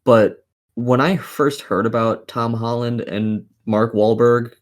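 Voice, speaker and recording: A man's voice: medium pitch, steady tone, slow pace. A man hosting a casual gaming podcast, opinionated and swearing freely as he talks. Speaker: podcast host.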